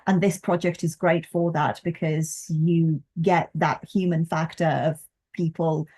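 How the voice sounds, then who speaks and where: A woman's voice: sits low, flat delivery, measured pace, dark tone. A young woman researcher in a reflective conversation, speaking articulately and thoughtfully with hesitant phrasing. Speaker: postdoctoral folklore researcher and co-host.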